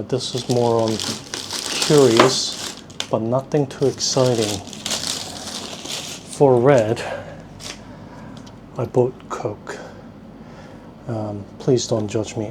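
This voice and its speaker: A man hosting a casual food-tasting vlog, rambling and thinking aloud in a self-deprecating way. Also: medium pitch. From South Korea, at least partly. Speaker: vlog host. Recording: clearly noisy ambient background. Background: ambient noise.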